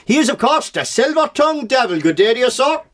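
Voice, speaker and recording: A man's voice: very high-pitched, big pitch swings, brisk. A starstruck male local radio host from a comic audio play. He speaks with a Cork lilt, gushing and stumbling nervously over his words. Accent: Cork Irish.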